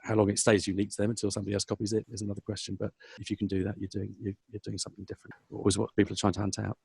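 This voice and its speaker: A man's voice: fairly low pitch, monotone, racing, gravelly, a little mellow, husky, dry recording. A man with a London English accent giving rambling, self-correcting conversational answers as an interview guest. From South London, England. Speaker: podcast guest, food business professional.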